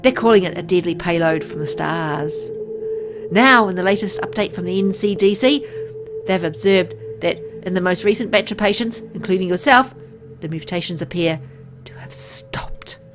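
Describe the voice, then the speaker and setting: A woman's voice: lowish voice, moderate pace, close to an everyday voice. Woman acting earnest medical insider over music. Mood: grave, explanatory. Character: secretive, frank medical insider explaining a dread disease.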